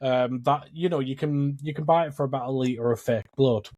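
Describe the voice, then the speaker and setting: A man's voice: medium pitch, steady tone, moderate pace, darkish tone, no echo, dull audio. A man in casual film discussion as a podcast co-host, speaking in a blunt, opinionated way with some swearing.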